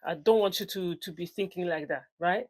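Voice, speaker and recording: A woman in her forties speaks English with a French accent that carries African influence. Her voice sits a little low, and she talks in a loose, unscripted way, full of fillers and quick self-corrections. She sounds like a personal trainer chatting straight to her viewers. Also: darkish tone. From France. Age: 40s. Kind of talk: casual talk to camera.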